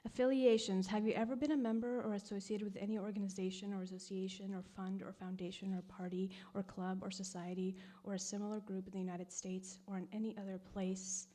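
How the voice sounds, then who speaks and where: A woman in her thirties performing poetry at a live reading, mixing English and Spanish, swinging between hushed whispers and loud cries. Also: medium pitch, flat delivery, fairly quick, hardly any pauses. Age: 30s. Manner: dramatic, shifting from whispers to screams. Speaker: poet reading at a live event.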